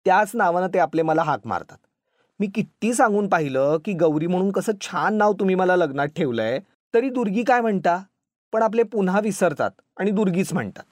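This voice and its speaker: Storyteller putting on a young rustic wife, touchy, plaintive, scolding, high, very animated, storybook reading. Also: fairly quick, dry recording, studio quality, lightly acted. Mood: chatty, defensive. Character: touchy, dramatic young village-bred wife, quick to sulk.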